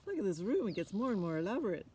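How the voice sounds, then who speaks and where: A woman's voice: mid-range voice, sing-song, very fast, dark tone. An older woman reading a picture book aloud outdoors, with chatty asides and questions to young listeners. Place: outdoors on a forest floor. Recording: outdoor ambience with noticeable background noise.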